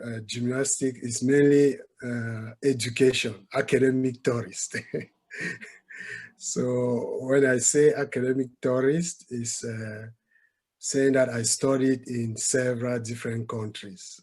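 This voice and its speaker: A man from Cameroon answers interview questions in a mid-pitched voice. He is polite and halting, filling pauses with frequent hesitations. He speaks as a guest over a video call. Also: darkish tone. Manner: polite, halting, with many uh fillers.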